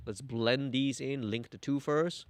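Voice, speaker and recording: A young man, talking through a mix on a studio livestream, relaxed and thinking aloud as he works. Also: mid-range voice, a little slow, no echo. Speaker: mixing engineer host. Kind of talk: livestream mixing tutorial. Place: indoor music studio.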